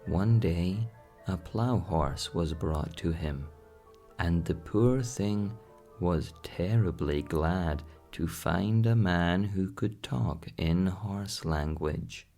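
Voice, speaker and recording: A man calmly narrating a scripted bedtime story as an audiobook, in a soothing manner. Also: low-pitched, takes it slowly, faint music behind. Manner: calm, soothing.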